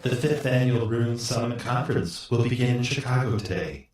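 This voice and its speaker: A man's voice: mid-range voice, normal speed, darkish tone, soft background music, natural voice. A man playing a straight-faced radio news reporter, reading a scripted report in a formal, factual way. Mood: serious, neutral. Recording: distant, heavily echoing.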